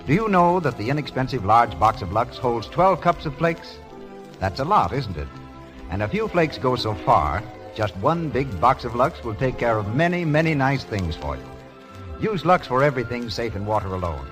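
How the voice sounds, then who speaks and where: A man's voice: mid-range voice, big pitch swings, normal speed, over music, poor audio. A man delivering scripted announcements and narration in a 1930s radio show style, on an old broadcast recording.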